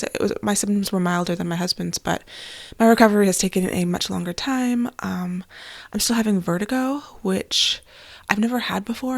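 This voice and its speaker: A woman talks through her podcast in an easy, chatty way, a bit stuffy and nasal from a recent illness. Her voice carries a gravelly fry. It sounds close and dry, with no room echo. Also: medium pitch. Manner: chatty and candid, with fillers and small laughs. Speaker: podcast host and author. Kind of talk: casual solo podcast monologue.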